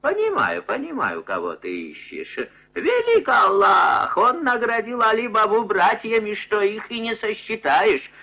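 Sly cartoon cobbler, high singsong voice, eager and chatty, dull old film sound. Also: male voice, wildly swooping pitch, unhurried, creaky, bright, forceful tone, full character voice. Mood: gleeful.

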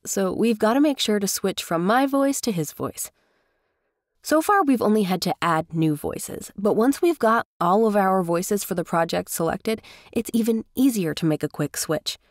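A woman giving a casual, chatty software tutorial voice-over as host. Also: lowish voice, big pitch swings, normal speed, dry recording, studio quality. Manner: informal, chatty. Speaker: tutorial host, professional narrator.

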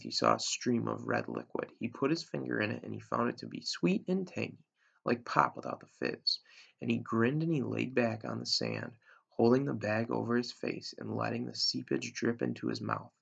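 Gravelly man reading a story aloud. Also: mid-range voice, lively intonation, moderate pace, a bit bright. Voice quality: gravelly, husky. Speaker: teacher reading a book aloud. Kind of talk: read-aloud storytelling narration. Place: small room indoors at home. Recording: dull, lo-fi webcam sound.

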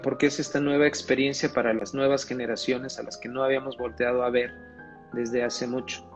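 A man as guest in an online conversation, talking enthusiastically and repeating his phrases. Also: mid-range voice. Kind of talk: casual live-stream conversation.

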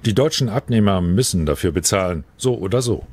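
A man narrating a scripted TV news documentary in a measured, even delivery. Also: sits low.

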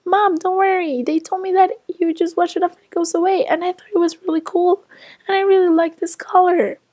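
A woman's voice: extremely high pitch, little pitch movement, fairly quick, mellow, no room sound, voice pushed up. A woman voicing a stubborn nine-year-old girl who pleads and whines. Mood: pleading. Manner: pleading, whiny.

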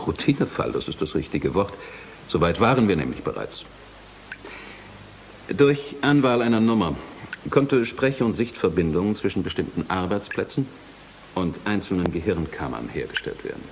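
A man's voice: low voice, sing-song, moderate pace, slight background noise, poor audio. A male actor playing a self-assured institute president who explains things formally in a German radio play.

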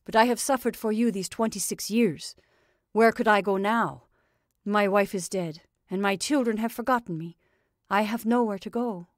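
A woman reading the part of a weary, long-suffering old male prisoner in a storybook, her own voice lifted a little and louder. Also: medium pitch, normal speed, no echo, studio quality, close to an everyday voice. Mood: bitter grief. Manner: bitter, then forgiving.

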